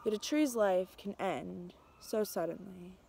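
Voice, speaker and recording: A young adult woman reading a picture book aloud outdoors, with a measured, expressive storytelling delivery. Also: mid-range voice, vocal fry, slight background noise. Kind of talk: storybook read-aloud, narrated as a tree. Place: outdoors in snowy winter woods.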